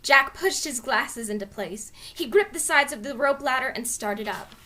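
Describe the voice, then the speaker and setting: A woman reading a children's storybook aloud as narrator, with expressive delivery. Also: high voice, moderate pace. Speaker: story reader.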